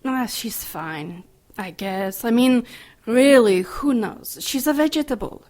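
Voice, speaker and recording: An actress playing an excitable, spiteful nun in an audio drama, blunt and dramatic. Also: female voice, on the high side, slow pace. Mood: callous, sardonic.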